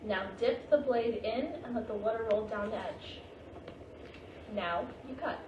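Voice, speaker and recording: A wise, tender grandmother spirit, read by a woman in a staged drama, guides patiently and reassuringly. The voice sits fairly high and reaches us from a distance through a very echoey room with clear background noise. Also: muffled recording, lightly acted. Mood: gently instructive. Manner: patient, reassuring, unhurried guiding. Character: gentle, wise ghost of a Japanese grandmother. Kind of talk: staged play reading.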